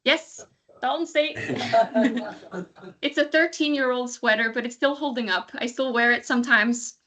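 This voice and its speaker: A woman's voice: fairly high pitch, a little slow, bright, forceful tone. Cheerful Swedish-born woman in her thirties. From Sweden. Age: 30s. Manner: cheerful, laughs lightly between sentences. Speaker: alumni speaker. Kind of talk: casual personal update on a video call. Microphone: video call.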